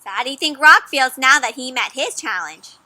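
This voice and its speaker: A young woman reading a picture book aloud to children in a lively way, gasping and asking her listeners questions. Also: high-pitched, no room sound. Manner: expressive, asks children questions, gasps and dramatic pauses. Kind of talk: animated children's read-aloud.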